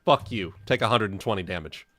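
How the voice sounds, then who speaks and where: A man's voice: on the low side, fairly quick, fairly bright tone, soft background music. A man chatting casually as a player in a tabletop fantasy game, explaining his moves and rules.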